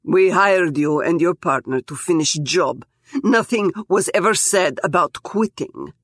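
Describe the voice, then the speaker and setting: A woman's voice: on the low side, unhurried, dry recording, clean studio sound. A woman voicing a brutish, heavily accented male gangster who talks in clipped, broken, menacing phrases.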